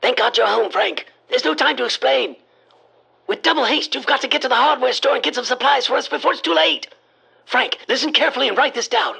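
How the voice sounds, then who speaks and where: A man's voice: very high-pitched, lively intonation, fairly quick, vocal fry, sharp tone, breathy edge, exaggerated voice. A male actor playing a frantic, obsessed occultist in a horror radio play, declaiming in a theatrical, grandiose style. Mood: urgent panic.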